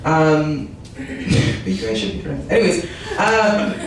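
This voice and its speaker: A woman's voice: low voice, slow pace, crowd noise. A young woman doing a stand-up comedy set in a barbershop, chatty, laughing often and restarting her sentences. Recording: echoey room from far off, noisy.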